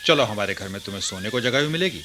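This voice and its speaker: An actor playing a friendly village householder in a Hindi radio drama, hearty and insistently hospitable. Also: male voice, fairly low pitch, lively intonation, quick pace, noisy background, natural voice. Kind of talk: Hindi radio play acting.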